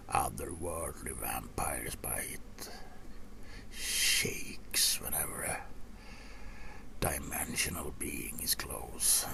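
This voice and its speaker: A man playing a gruff, seasoned vampire hunter in a soft-spoken ASMR roleplay, half-whispering and muttering slowly to a rookie with casual asides. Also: a bass voice, heavily acted. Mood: possessive, instructive. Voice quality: gravelly, breathy half-whisper. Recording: clearly audible background noise.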